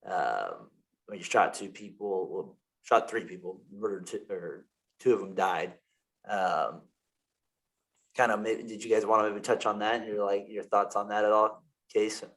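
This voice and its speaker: A man hosts a sports podcast in a loose, rambling way, full of fillers and self-corrections. His voice sits low. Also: measured pace, fairly bright tone. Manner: rambling, many fillers and restarts. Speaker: podcast host. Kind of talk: casual sports podcast chat.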